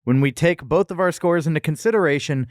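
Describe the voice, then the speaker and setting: A man's voice: fairly high pitch, fast talker, darkish tone, dry recording, studio quality. A man co-hosting a podcast in casual, playful, jokey review banter. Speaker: podcast co-host.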